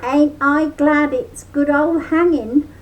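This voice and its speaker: Brer Rabbit, a grandmother's shrill hot-tempered trickster rabbit. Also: fairly even intonation, takes it slowly. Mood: sly. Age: older. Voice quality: high, shrill hollering.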